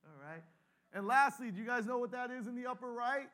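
High, bright-voiced joking pastor. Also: male voice, expressive, fairly quick. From Canada. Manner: joking, playful, calls for audience replies. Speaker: pastor and guest speaker. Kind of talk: lively humorous talk to an audience. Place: large room with a live audience.

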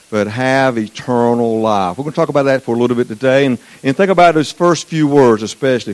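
A man's voice: medium pitch, a little mellow, low-quality recording. A man from Eastern North Carolina with a Southern accent, preaching a folksy sermon as a guest missions speaker and quizzing the congregation. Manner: folksy, chatty, asks the congregation questions. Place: church sanctuary.

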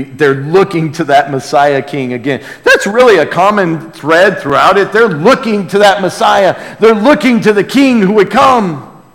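A male pastor teaches from the pulpit in a fairly high voice, with long reverb trailing in a big hall. He speaks conversationally but earnestly, breaking into exclamations and repeating phrases to press them home. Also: fairly bright tone. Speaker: pastor preaching to a congregation. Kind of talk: sermon, conversational Bible teaching with call and response.

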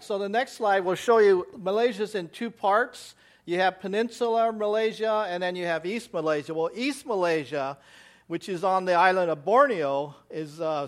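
An American missionary giving a church slide presentation, rambling with many fillers and restarts. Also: male voice, high voice. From United States.

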